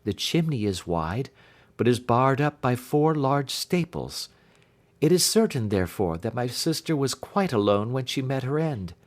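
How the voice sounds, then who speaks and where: Man reading as a distressed young Victorian gentlewoman. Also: mid-range voice, big pitch swings, measured pace. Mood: earnest, troubled. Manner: earnest, formal Victorian phrasing. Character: Helen, distressed young gentlewoman recounting her sister's death.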